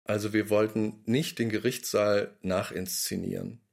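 A man with a low, gravelly voice speaks in an interview as a radio play maker. He explains his work in a thoughtful, reflective way. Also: level tone, unhurried, darkish tone.

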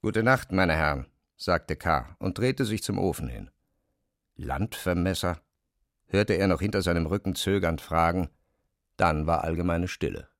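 A man's voice: deep voice, a little slow, no echo, studio quality. A man narrating a scripted literary radio drama as its storyteller. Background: faint music.

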